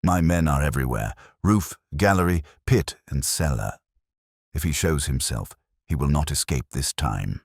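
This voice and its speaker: Stern police inspector for a mystery audiobook played by a man: very low, husky, desperate and emphatic. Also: expressive, moderate pace, no room sound, studio quality, full character voice. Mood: grim, determined. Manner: grave, urgent, emphatic. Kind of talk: audiobook character dialogue.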